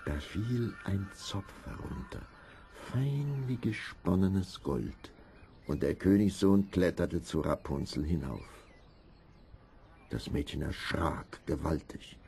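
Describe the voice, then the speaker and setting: A man's voice: low-pitched, animated, slow pace, mellow, a little hiss or hum. A man narrating a scripted fairy tale as a storyteller.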